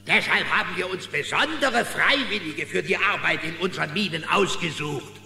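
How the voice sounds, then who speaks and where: Bossy cartoon sheriff, high creaky voice, pompous, domineering, radio play, echoey hall. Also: male voice, moderate pace, bright, forceful tone, slight background noise, heavily acted. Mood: sly, smug. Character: bossy, greedy small-town sheriff who plays judge. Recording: echoey hall reverb, faint music.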